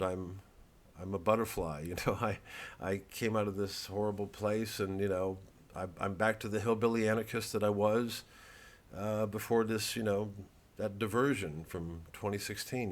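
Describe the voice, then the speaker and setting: A man's voice: fairly low pitch, steady tone, normal speed. A man chatting casually to camera to promote his show, nervous and rambling, self-mocking, with many restarts. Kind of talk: casual direct-to-camera chat.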